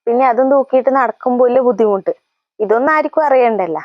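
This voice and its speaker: A woman's voice: a little high, quick pace, highs cut off, close to an everyday voice. A woman playing a fed-up, sarcastic pregnant young mother in a comedy sketch, with a Kannur accent from north Kerala. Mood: exasperated, sarcastic. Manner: sarcastic retorts.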